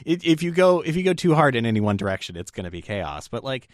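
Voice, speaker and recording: Male podcast host, lively pitch, easy laugher, stammering restarts, dry close sound. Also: fast talker, no echo. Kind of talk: casual chat.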